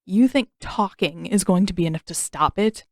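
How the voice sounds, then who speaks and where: A woman's voice: lowish voice, normal speed, darkish tone, dry recording, studio quality, close to an everyday voice. A woman voicing a sardonic teenage boy warrior in an audiobook, defensive and easily indignant.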